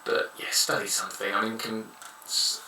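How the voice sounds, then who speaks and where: A man's voice: on the low side, little pitch movement, moderate pace, background noise. A man in his thirties giving frank, rambling advice in a casual monologue. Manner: frank, rambling, blunt opinions. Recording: echoey room, somewhat far from mic.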